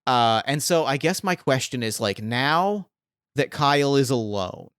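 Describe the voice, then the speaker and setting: A man working as game master, narrating and questioning a player in a halting, think-aloud way. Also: medium pitch, lively intonation, fairly bright tone, clean tone, no room sound, clean studio sound. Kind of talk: improvised tabletop game narration.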